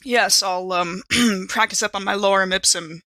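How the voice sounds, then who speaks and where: Tabletop game role: female player as prim, eccentric lady, arch and droll, bright-voiced, closely and dryly recorded. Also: mid-range voice, a little slow, no echo. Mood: droll, hesitant.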